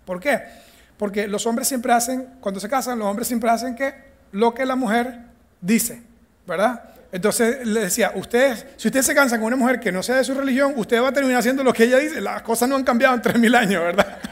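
A Venezuelan man teaching a lively Bible class, joking and acting out the characters as he tells the story. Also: very high-pitched, brisk. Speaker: church teacher.

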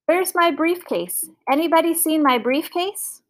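A woman's voice: lowish voice, slow pace, pitched below the performer's own voice, poor audio. Woman acting an irritable, demanding family father. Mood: frantic. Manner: loud, demanding.

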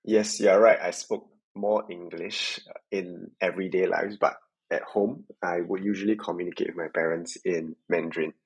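Low, husky Singaporean man being interviewed. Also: fairly even intonation, moderate pace, rough recording. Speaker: podcast guest, tech sales worker. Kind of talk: reflective interview conversation.

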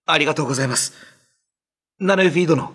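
A man playing a humble, worried older brother seeking help in a radio drama, earnest and very deferential in his husky speech. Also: fairly high pitch, quick pace, a bit bright. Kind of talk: Japanese radio drama acting.

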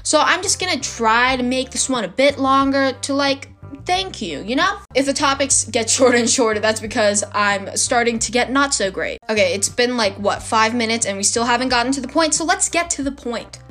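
A teenage girl chats into her phone for her solo podcast, dramatic and quick to poke fun at herself. Her voice sits a touch high and sounds slightly worn from talking so much, with faint music underneath. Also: a bit bright. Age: teens. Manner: chatty, dramatic, self-mocking. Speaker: podcast host. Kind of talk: casual solo podcast chat.

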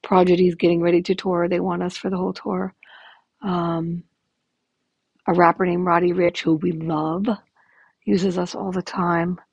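A woman in her fifties with a raspy, gravelly, hoarse voice, talking candidly and rambling with lots of ums in a casual podcast update. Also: fairly low pitch, level tone, measured pace, pauses often, a little mellow, no echo, dull audio. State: hoarse from illness. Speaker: podcast host.

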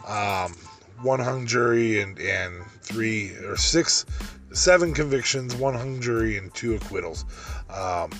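Man, deep voice, podcast host, rambling, lots of ums, faint music behind. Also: a bit bright. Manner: rambling, many fillers and restarts. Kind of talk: solo podcast wrestling review.

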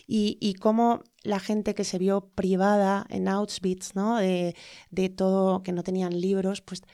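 Middle-aged Spanish woman guest, thoughtful and halting, average pitch, dry close-miked sound. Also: flat delivery, dark tone, clear, resonant tone, no room sound. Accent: Spain Spanish. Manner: hesitant, many fillers and tag questions. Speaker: interview guest, lawyer. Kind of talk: reflective conversational interview.

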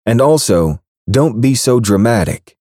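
A man's voice: medium pitch, animated, unhurried, dark tone, dry recording, studio quality, pitched above the performer's own voice. A man voicing a sly, playful young woman who teases with coy answers. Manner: teasing, coy.

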